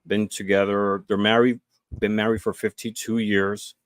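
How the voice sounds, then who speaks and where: A man in his forties talks earnestly as a guest, pausing on fillers and restarting his sentences. His voice sits low, and the sound is close and dry. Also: little pitch movement, no room sound. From Puerto Rico. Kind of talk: casual interview chat.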